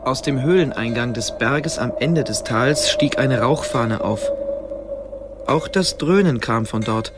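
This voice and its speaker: An actor narrating a fantasy adventure as the storyteller of a scripted radio drama. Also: male voice, medium pitch, animated, a little slow, loud music behind the voice, lightly acted. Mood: atmospheric.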